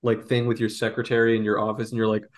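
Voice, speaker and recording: A man chatting on a podcast, telling stories in an animated way and laughing often. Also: on the low side, fast talker, a little hiss or hum. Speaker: podcast co-host. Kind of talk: casual podcast chat.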